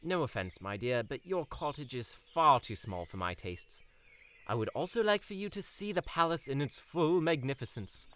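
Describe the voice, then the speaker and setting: A man's voice: mid-range voice, big pitch swings, normal speed, a bit bright, slight background noise. A man playing a pompous, lilting fairytale prince. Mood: grandly confident. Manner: pompous, flowery old-fashioned formal speech. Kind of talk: audio drama acting.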